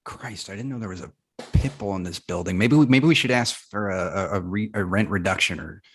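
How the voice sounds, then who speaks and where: A man's voice: low-pitched, fairly quick, fairly bright tone, husky. A man playing a sarcastic, grumbling roommate in his twenties at a comedy table read, stumbling over words in an everyday voice. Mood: annoyed. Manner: sarcastic, stammering.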